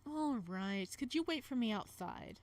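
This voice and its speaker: A woman's voice: a little high, animated, a little slow, a little mellow. A woman voicing a self-conscious, exasperated young man, the protagonist of a visual novel, with sarcastic grumbling. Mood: annoyed.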